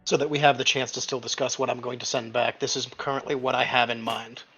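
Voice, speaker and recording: A man talking as a player in a tabletop game, casual but deliberate and precise. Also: mid-range voice, little pitch movement, bright, forceful tone, husky, faint music behind. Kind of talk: casual table talk, reading out own drafts.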